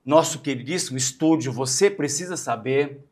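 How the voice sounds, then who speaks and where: A man's voice: medium pitch, moderate pace, darkish tone. A Brazilian man hosting a podcast in a studio, introducing and interviewing in a conversational style.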